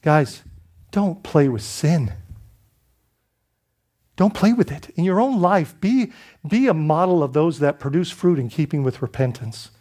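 A high-pitched man from the Bahamas delivers a sermon as pastor. He preaches in a loose, conversational way, restarting phrases and checking in with the congregation through rhetorical questions. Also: lively intonation, a little slow, pauses often, mellow.

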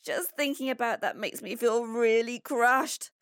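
Woman as young schoolboy, storybook character, worried, breathless, dramatic, raised pitch, dry recording. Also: normal speed, dry recording, close to an everyday voice. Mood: worried, crushed. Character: anxious schoolboy son, agitated and dramatic. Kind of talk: children's storybook dialogue.

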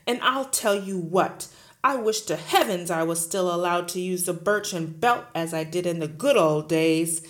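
A woman voicing a huge, bullying headmistress who shouts, barks and sneers at everyone. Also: on the low side, moderate pace, natural voice. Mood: blustering. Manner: shouting, barking, scornful.

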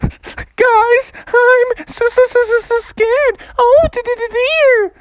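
A woman's voice: on the high side, moderate pace, a bit bright, dry recording, pitched above the performer's own voice. A woman voicing a small, nervous male frog who stammers and repeats himself while putting on bravado. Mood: terrified.

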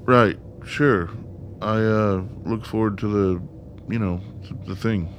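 Radio play role: actor as nervous, evasive male inmate, lowish voice, stammering and rambling, faintly noisy track. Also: steady tone, slow pace. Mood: awkward. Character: Harry, anxious, evasive, awkward male mental-ward inmate.

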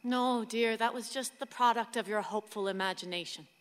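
Actress as a high-voiced, despairing grieving mother. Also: a little fast, natural voice. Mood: sorrowful, tender. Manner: sweary, intense, wounded delivery. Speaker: actress in a radio play. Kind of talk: emotional radio drama acting. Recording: long hall reverb, background noise.

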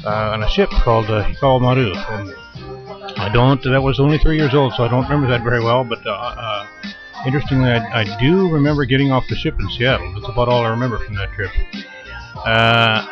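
A man in his fifties who grew up in Japan, a radio interview guest telling long, thoughtful stories. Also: mid-range voice. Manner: unhurried, thoughtful storytelling. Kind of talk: casual interview. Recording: muffled lo-fi radio recording with music behind.

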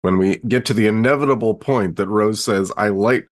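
A man's voice: on the low side, fairly quick. A man thinking aloud in casual conversation as a player in a tabletop story game.

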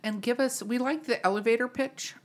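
A woman's voice: a little high, fairly even intonation, a little fast. A woman hosting a casual podcast interview, irreverent and teasing, swearing freely. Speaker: podcast host.

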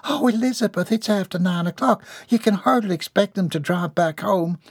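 A man's voice: high voice, moderate pace, slightly rough, no room sound, clean studio sound, voice pushed up. A man voicing an anxious, fussy older lady friend in an audiobook. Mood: fluttery. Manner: fluttery, pleading.

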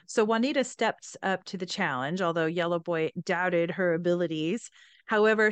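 A woman's voice: medium pitch, clear, resonant tone, dry recording. A woman hosting a podcast, retelling and reading aloud from a book with a chatty, enthusiastic manner. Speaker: podcast host.

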